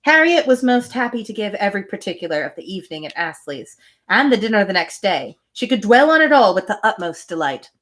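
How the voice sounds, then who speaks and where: A woman reading a classic novel aloud as host of a reading series, expressive and breaking into casual asides. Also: mid-range voice, a little fast. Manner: expressive, animated storytelling. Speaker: reader hosting a read-aloud series.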